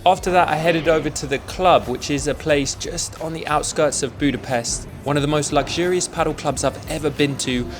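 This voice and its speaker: A man's voice: on the high side, fairly quick, few pauses. A young man narrating his sports vlog in an upbeat, matter-of-fact voice-over. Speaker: padel vlogger. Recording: music bed under voice-over.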